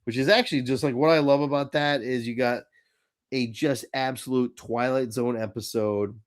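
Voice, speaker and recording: A man's voice: medium pitch, fairly even intonation, normal speed. A man co-hosting a casual fan chat, speaking in an earnest, conversational way. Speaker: podcast co-host.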